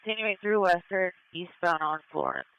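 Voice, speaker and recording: A man's voice: sits high, normal speed, smooth, dry recording, narrow, tinny audio. A man observing from a police air unit, giving terse running direction call-outs over the radio. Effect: police radio transmission. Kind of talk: police radio pursuit commentary.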